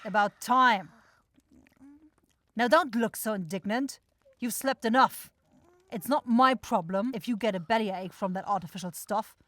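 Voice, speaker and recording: A woman's voice: on the high side, measured pace, long pauses, fairly bright tone, dry recording. Casting: woman voicing a demon woman supervisor, brusque, exasperated, sarcastic; fantasy roleplay, tight dry recording. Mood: grumpy, scolding. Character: bossy, grumbling demon woman shopkeeper, sweary supervisor. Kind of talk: fantasy audio roleplay acting.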